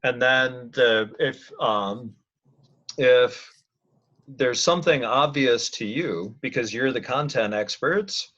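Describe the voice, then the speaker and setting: A man leading a casual work conference call, thinking aloud as he presents and often pausing to restart his sentences. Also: medium pitch, fairly bright tone. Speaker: meeting leader presenting slides. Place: online video conference call. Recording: muffled, lo-fi conference call audio.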